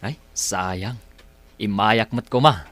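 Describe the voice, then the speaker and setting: An actor playing a concerned, easygoing grown son with an Ilocano accent, casual and inquiring in a radio drama. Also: male voice, on the low side, slow pace, fairly bright tone. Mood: regretful, concerned. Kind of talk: Philippine radio drama acting.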